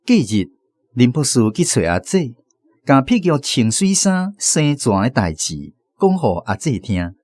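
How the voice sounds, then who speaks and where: A man's voice: medium pitch, very animated, measured pace, a little mellow, no echo. A man with a Taiwanese accent narrating a scripted radio drama as a storyteller.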